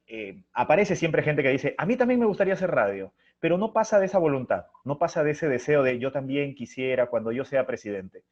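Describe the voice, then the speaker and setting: A man's voice: mid-range voice, a little mellow. A middle-aged man with a Peruvian Spanish accent, answering conversationally as an interview guest in a reflective, anecdotal way. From Chiclayo, Peru. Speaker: theatre artist and interview guest. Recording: dull, lo-fi audio.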